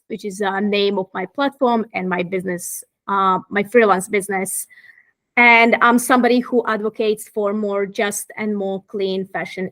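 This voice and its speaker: A woman's voice: medium pitch, fairly bright tone. A woman in her thirties from Croatia, a fashion activist talking as a guest in a thoughtful, articulate way. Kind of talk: interview conversation.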